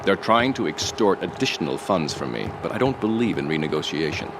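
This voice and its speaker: A man voicing a suave, scheming rich tycoon who speaks in a calm, polished, dryly ironic way. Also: deep voice, brisk, background noise. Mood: smooth.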